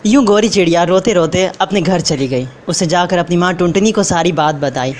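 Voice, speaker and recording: A woman's voice: on the low side, normal speed, very noisy. A woman narrating a scripted children's story.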